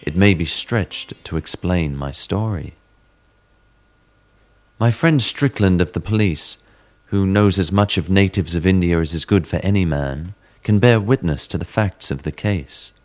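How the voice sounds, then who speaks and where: A man giving a measured, theatrical dramatic reading of a classic horror story as narrator, in the manner of a storyteller. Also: sits low. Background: sitar music.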